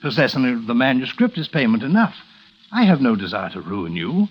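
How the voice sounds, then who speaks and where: An actor playing a precise, politely menacing literary scholar in a 1940s radio drama. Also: male voice, medium pitch, big pitch swings, a little fast, husky. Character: scholarly, quietly menacing male blackmailer. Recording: dull, lo-fi old radio sound.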